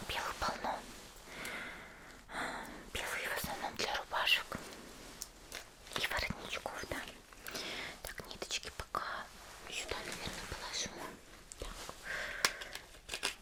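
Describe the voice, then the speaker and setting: A woman playing a cheerful, caring young female demon slayer in a soft, chatty whispered ASMR roleplay. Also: unhurried, long pauses, natural voice. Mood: gentle, chatty. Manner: gentle, chatty, hesitant little murmurs.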